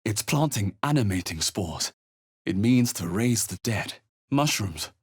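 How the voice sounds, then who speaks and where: A measured male adventurer from a fantasy game. His voice sits on the low side with a husky rasp, and he delivers wry, understated remarks. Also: lively intonation, no echo, studio quality, exaggerated voice. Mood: wry, muttering. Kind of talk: video game character voice lines.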